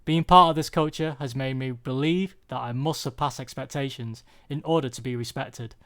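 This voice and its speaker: A young British man giving a prepared personal talk, earnest and confessional, with deliberate phrasing. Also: mid-range voice, fairly even intonation, fairly bright tone, smooth. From UK, born there.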